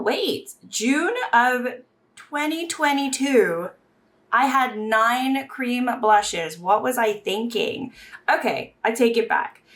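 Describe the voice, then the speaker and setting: Young woman, beauty vlogger, chatty, slightly hoarse, mid-range pitch. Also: a bit bright. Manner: chatty, thinks aloud, self-correcting. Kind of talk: casual chatty vlog.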